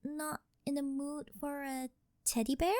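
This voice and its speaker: A woman's voice: high-pitched, little pitch movement, a little slow, a little mellow, dry recording, studio quality. A woman playing a rich, possessive, doting older girlfriend in an ASMR roleplay, coy, cutesy and teasing as she sweet-talks. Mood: playfully boastful.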